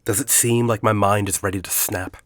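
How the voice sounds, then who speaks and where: An actor playing a forty-year-old university headmaster in an audio drama, a secretive academic who speaks in a deliberate, articulate, confiding way. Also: male voice, on the low side, steady tone, dry recording, clean studio sound, natural voice. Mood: guarded, challenging. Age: 40s. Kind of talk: audio drama dialogue and storytelling.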